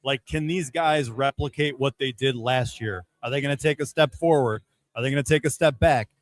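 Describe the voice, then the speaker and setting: A man giving casual, measured sports analysis as a podcast guest, hedging his points as he goes. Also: medium pitch, no echo.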